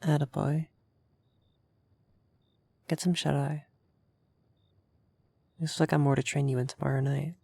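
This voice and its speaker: A man's voice: a little high, little pitch movement, measured pace, vocal fry, pauses often, darkish tone, slightly rough, dry recording, full character voice. A man playing a young effeminate vampire mage in roleplay ASMR, vain and refined, coy and easily flustered in an intimate delivery. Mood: soft, fond.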